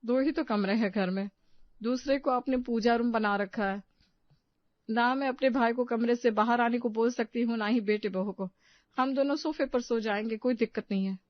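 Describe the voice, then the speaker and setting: Woman as homely older aunt, affectionate, slightly high pitch, family drama, dry dull sound. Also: moderate pace, dry recording, natural voice. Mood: accommodating. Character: affectionate older aunt, youngest sister, accommodating.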